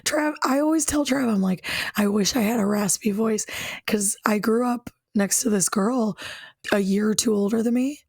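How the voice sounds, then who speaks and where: A woman co-hosting a podcast in casual chat, her voice hoarse and raspy from illness, easygoing and often laughing. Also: mid-range voice, normal speed, darkish tone, no room sound, studio quality. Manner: breaks into frequent laughter, shrugs things off. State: hoarse, nearly lost voice from illness. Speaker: podcast co-host.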